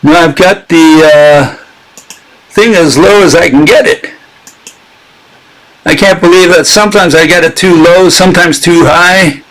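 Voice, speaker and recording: A man's voice: on the high side, steady tone, a little slow, long pauses, a bit bright, low-quality recording. A man hosting a casual live-streamed talk, sarcastic and chatting with his viewers as he goes. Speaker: channel host giving a critique.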